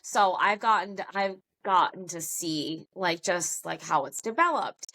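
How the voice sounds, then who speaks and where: A woman's voice: on the low side, unhurried, bright, forceful tone, rough recording. A middle-aged American woman chatting informally and jokingly as a podcast guest. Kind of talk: casual chat.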